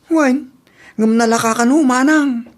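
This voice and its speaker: A woman's voice: high voice, unhurried. A woman playing a mild, agreeable married male farmer in an Ilocano radio drama, with an Ilocano Filipino accent.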